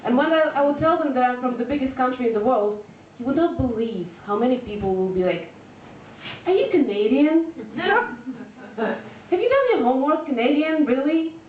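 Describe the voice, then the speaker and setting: A woman, performing a deadpan stand-up set full of fillers and restarts, recorded live at a venue. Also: sits high, normal speed. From Russia. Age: 20s. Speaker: stand-up comedian. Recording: echoey lo-fi room recording from far off.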